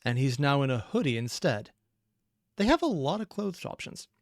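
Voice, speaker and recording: A man's voice: medium pitch, sing-song, moderate pace, faint music behind. A man hosting a reaction video, giving informal, opinionated, analytical commentary off the cuff.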